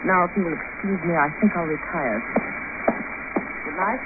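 A woman's voice: fairly low pitch, normal speed, lightly acted. An actress playing a guarded, self-possessed woman surgeon in an old radio drama, speaking formally and coolly. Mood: aloof. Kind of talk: 1950 radio drama acting. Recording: hissy, tinny old radio broadcast recording.

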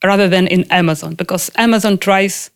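A young woman from Ukraine, speaking in a lively, chatty way as an interview guest. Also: fairly low pitch, brisk, clear, resonant tone. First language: Russian. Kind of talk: casual conversational interview.

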